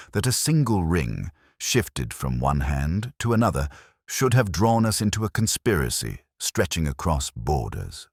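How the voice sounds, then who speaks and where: Very deep-voiced male storyteller. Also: no echo, clean studio sound. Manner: measured literary storytelling. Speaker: audiobook narrator. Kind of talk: scripted dramatic narration of a detective story.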